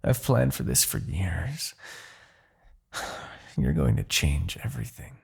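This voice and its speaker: A man playing a smug, menacing demon king, obsessed with his rival, in a theatrical, deliberate fantasy roleplay monologue with a husky, gravelly voice. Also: deep voice, sing-song, full character voice. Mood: triumphant. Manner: theatrical, gloating, deliberate, grandiose.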